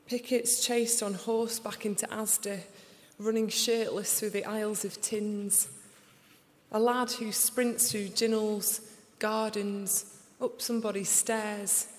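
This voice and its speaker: A young woman with a Sheffield accent reading her poems aloud in a slow, deliberate recital before an audience. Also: medium pitch, level tone, darkish tone. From England. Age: young adult. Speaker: poet. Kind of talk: poetry reading. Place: large concert hall. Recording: live reading in a reverberant hall.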